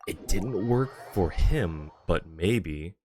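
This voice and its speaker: Low-voiced man chatting on a game stream. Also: expressive, takes it slowly, mellow, slight background noise. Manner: rambling, thinking aloud. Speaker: game livestreamer. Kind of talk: casual live-stream gaming chat.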